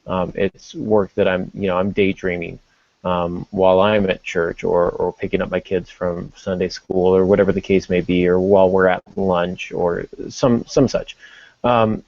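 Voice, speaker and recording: A middle-aged man hosting a podcast, talking casually in a halting, reflective way and correcting himself as he goes. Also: low-pitched, flat delivery, gravelly, darkish tone, no echo, dull audio. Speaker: podcast host.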